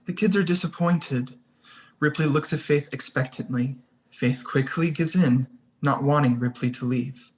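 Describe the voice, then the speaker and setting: A woman's voice: rumbling low, a little slow, a little mellow. A woman reading screenplay stage directions as the narrator of a table read.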